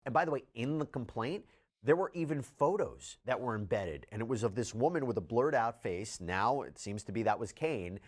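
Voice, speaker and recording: Lively male news commentator, mid-pitched. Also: moderate pace. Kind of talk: news explainer narration, presenting case updates.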